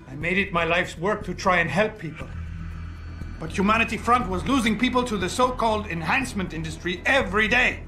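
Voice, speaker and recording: Bright-voiced, despairing disgraced man. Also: sits high, fairly quick, faint music behind. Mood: remorseful, despairing. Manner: formal, eloquent, emotionally wrought. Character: despairing, guilt-ridden disgraced male activist, bitter and proud. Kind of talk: dramatic video game voice acting.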